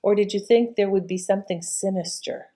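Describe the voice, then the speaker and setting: Female host, average pitch, casual show introduction addressed straight to listeners. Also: steady tone, brisk, dark tone. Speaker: channel host.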